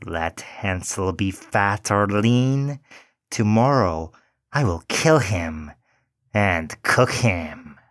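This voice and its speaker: A man voicing a cruel, mocking old witch in a storybook reading, slow and muttering. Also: on the low side, expressive, a bit bright, no echo, clean studio sound, exaggerated voice. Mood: menacing.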